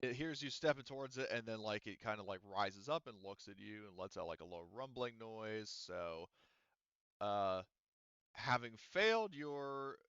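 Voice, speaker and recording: A man's voice: on the low side, expressive, a little slow, a bit bright, clean tone, no room sound, lo-fi sound. A man running a tabletop roleplaying game as game master, casual and rambling with many fillers. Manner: rambling, lots of fillers and restarts.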